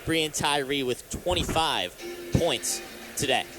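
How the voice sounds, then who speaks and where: High-voiced young American sports announcer man. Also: expressive, slow pace, music in the background. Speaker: sports play-by-play announcer and reporter. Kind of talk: live radio sports commentary.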